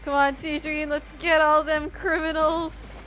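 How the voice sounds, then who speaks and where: A woman's voice: sits high, little pitch movement, unhurried, fairly bright tone, faint music behind. A woman from the Philippines doing casual live gameplay commentary as a streamer, playful and slangy. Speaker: game streamer.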